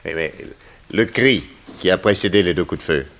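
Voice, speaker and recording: An actor playing an inquisitive, methodical investigator in a 1960s French radio drama, probing and decisive. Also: male voice, low voice, normal speed, a bit bright.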